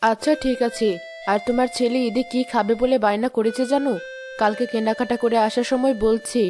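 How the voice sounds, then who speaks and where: A woman's voice: a little high, fairly even intonation, moderate pace, music in the background, natural voice. A woman playing a demanding, nagging village chairman's wife in a moral-story cartoon, with an insistent, complaining manner. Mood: coaxing.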